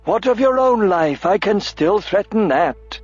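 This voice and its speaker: A man's voice: high-pitched, big pitch swings, slow pace, a little mellow, breathy edge, voice pushed up, full character voice. A man voicing a cold, ruthless bald mind-reader who speaks in harsh, curt threats. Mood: cold, furious. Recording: lo-fi audiobook with faint music.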